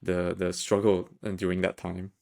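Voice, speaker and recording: A young man hosting a podcast, speaking in a halting, friendly way with many repeated words. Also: low-pitched, monotone, creaky, darkish tone. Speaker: podcast host. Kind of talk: casual conversational interview.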